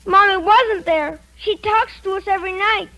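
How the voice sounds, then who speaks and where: A shrill, high-voiced distraught little boy. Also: moderate pace, bright, forceful tone, heavily acted, poor audio. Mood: earnest, insistent. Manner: plaintive, insistent. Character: distraught young boy, haunted and insistent about his dead mother. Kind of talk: old-time radio drama acting. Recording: vintage radio drama recording.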